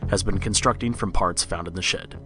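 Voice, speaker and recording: A man reads scripted horror narration like a storybook record. His voice is on the low side, and music plays clearly behind him. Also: a little fast.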